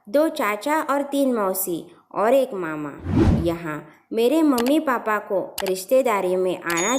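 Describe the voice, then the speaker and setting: A woman's voice: on the high side, darkish tone. A woman narrating a scripted first-person family story as a storyteller.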